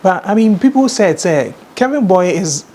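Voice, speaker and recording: A man's voice: sits high, expressive, moderate pace, a little mellow. A man with a Ghanaian accent hosting a conversational interview. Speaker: interviewer, show host.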